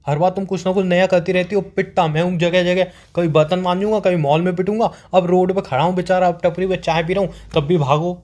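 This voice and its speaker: A man's voice: high voice, steady tone, fairly quick, hardly any pauses, a little mellow. A young man playing a boyfriend on a staged couple phone call, joking and teasing.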